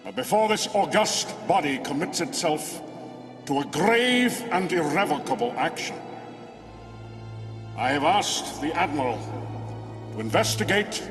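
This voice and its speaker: A man's voice: on the high side, animated, a little slow, pauses often. A man playing a stern, dignified senator who presides over an assembly with solemn formal oratory in a film drama. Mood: grave. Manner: declamatory, solemn. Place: large assembly hall. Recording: film soundtrack with music and crowd.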